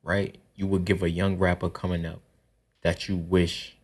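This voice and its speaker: A man's voice: low-pitched, level tone, normal speed, darkish tone. A man hosting a podcast interview, asking questions casually, with restarts as he talks. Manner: easygoing, stammering questions. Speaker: podcast host.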